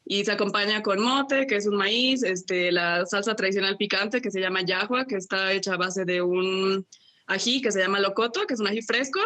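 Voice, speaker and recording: A woman's voice: medium pitch, moderate pace, sharp tone. A woman from Cochabamba with a Bolivian Spanish accent, a guest chef chatting in an interview, who rambles, tags her sentences with little questions and laughs often. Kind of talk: casual interview chat.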